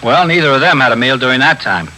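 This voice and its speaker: An actor playing a deferential desk sergeant in a 1950s radio police drama, clipped and matter-of-fact. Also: male voice, mid-range voice, lively intonation, fast talker, bright, forceful tone. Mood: dutiful, brisk. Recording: faintly noisy 1950s radio broadcast.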